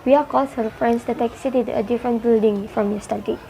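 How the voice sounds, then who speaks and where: A woman's voice: fairly high pitch, fairly even intonation, fairly quick, creaky, dark tone, low-quality recording. A woman reading scripted narration for a student radio drama.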